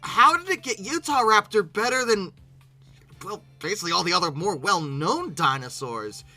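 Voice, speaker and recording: Very bright voice, animated pitch, humorous online host, casual reaction commentary, halting and repetitive, bemused exclamations. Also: faint music behind. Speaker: YouTube host.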